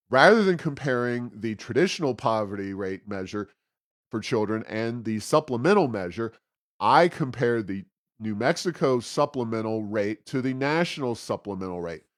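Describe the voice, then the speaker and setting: A man's voice: medium pitch, no echo. Dry-sounding studio man, wry political commentary. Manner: wry, sarcastic asides. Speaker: co-host of a policy podcast.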